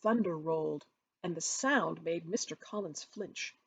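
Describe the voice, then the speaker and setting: Husky woman reading a novel aloud. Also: lowish voice, a little slow. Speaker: author reading from own book. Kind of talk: expressive scripted storytelling narration.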